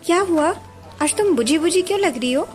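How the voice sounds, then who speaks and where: An actress playing a generous young woman colleague who talks briskly and chattily. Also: extremely high pitch, expressive, darkish tone, faint music behind. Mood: caring. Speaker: office colleague.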